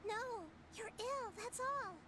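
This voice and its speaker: A woman's voice: very high-pitched, takes it slowly, very noisy. A woman voicing a small childlike companion in a fantasy video game, earnest, pleading and compassionate.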